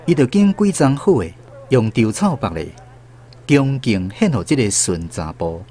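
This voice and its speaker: A man's voice: mid-range voice, very animated, measured pace, warm tone. A man with a Taiwanese accent reading scripted story narration for a radio drama.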